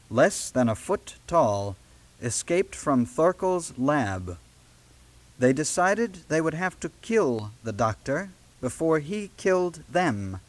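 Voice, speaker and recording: Slow, expressive male read-along narrator. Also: fairly high pitch. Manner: measured, deliberate storytelling with dramatic emphasis. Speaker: audiobook narrator. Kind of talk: scripted read-along narration for a children's book. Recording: late-1970s read-along audio cassette.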